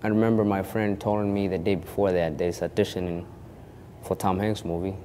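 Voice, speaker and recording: Young man with a mellow low voice. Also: little pitch movement, a little fast, in a large hall, a little hiss or hum. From born in Kenya of a Somali family. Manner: rambling, many restarts and "you know". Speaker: actor interviewed. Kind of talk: casual storytelling interview.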